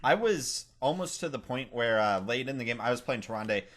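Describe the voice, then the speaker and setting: A man's voice: medium pitch, animated, a little fast, a bit bright. A man co-hosting a gaming podcast, chatting casually. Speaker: podcast co-host.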